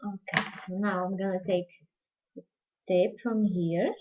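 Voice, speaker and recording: A woman's voice: medium pitch, steady tone, unhurried. A woman from Portugal with a Portuguese accent, chatting casually with viewers while demonstrating a craft project on a live stream. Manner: chatty, answers viewer questions while working. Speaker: crafting tutorial host. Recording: dull lo-fi livestream audio.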